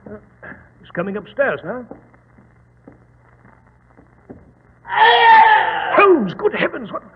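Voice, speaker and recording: An actor playing a loyal, bewildered older English gentleman sidekick with a British accent, blustering and flustered, in old-time radio drama. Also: very high-pitched, sing-song, moderate pace. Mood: alarmed. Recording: tinny, noisy old radio recording, long reverb.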